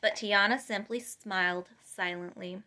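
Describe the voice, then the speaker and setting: A woman reading a children's storybook aloud in an expressive, emphatic way. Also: medium pitch, measured pace. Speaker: storytime reader.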